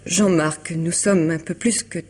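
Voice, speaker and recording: A woman's voice: lowish voice, fairly even intonation, unhurried, darkish tone, slightly rough. An actress playing an elegant, troubled woman confiding in a lawyer friend in a vintage French radio drama, hesitant yet articulate. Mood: agitated, defensive. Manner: hesitant, restarting, articulate and formal.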